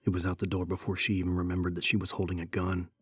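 A man's voice: low-pitched, monotone, racing, breathy edge, no room sound. A man narrating a horror audiobook in a scripted, dramatic style. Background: background music.

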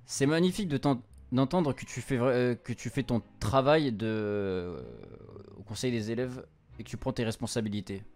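A man's voice: mid-range voice, moderate pace, a little mellow. A young man doing casual playthrough commentary, informal and hesitant as he translates game text aloud on a close streamer mic. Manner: informal, hesitant, stumbling while translating on the fly. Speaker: streamer, let's-play host. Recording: music behind the voice. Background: game music.

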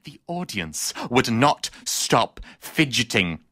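A man's voice: medium pitch, sing-song, slow pace, pushed and bright, no room sound, studio quality, full character voice. A man playing a haughty, embittered female theatre critic in a pompous, melodramatic comic stage soliloquy. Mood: scandalized, animated. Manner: pompous, precisely worded, melodramatic. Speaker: actor performing a comic monologue.

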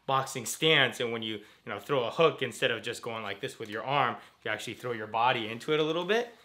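An American man chatting casually as a vlogger, in an informal, animated and jokey way. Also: mid-range voice, quick pace. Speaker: vlogger, host. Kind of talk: casual vlog chat.

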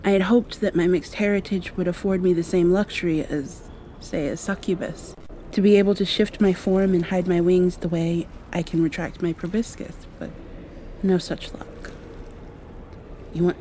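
A woman's voice: fairly low pitch, a little hiss or hum. A woman playing a shy, insecure half-mosquito vampire girl in an ASMR roleplay, hesitant and confiding. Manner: hesitant, soft-spoken, confiding.

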